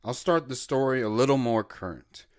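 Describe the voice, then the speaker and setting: A man's voice: medium pitch, moderate pace, no room sound, clean studio sound, lightly acted. A man playing a guarded, plain-spoken middle-aged wanderer telling his life story as a radio guest in an audio drama. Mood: guarded, reflective. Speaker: talk show guest.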